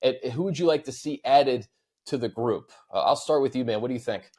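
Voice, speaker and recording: A man's voice: medium pitch, a little fast, dark tone, breathy edge. A man hosting a sports show, talking casually and conversationally about football and handing over questions.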